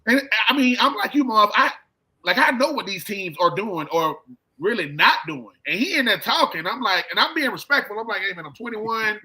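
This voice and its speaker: A middle-aged man with a high, bright voice talks sports casually. He speaks in colloquial phrases, circles back to repeat his points and slips into quoted dialogue as he tells a story. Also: lively intonation, moderate pace, low-quality recording. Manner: colloquial, repeats himself, acts out quoted exchanges. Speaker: sports show co-host and former football player.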